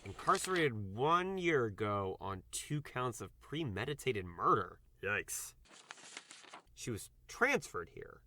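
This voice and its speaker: A man's voice: mid-range voice, sing-song, takes it slowly, pushed and bright, slight background noise, heavily acted. A man playing a nervous, awkward, self-deprecating doctor in an audio drama, hesitant and rambling. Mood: uneasy.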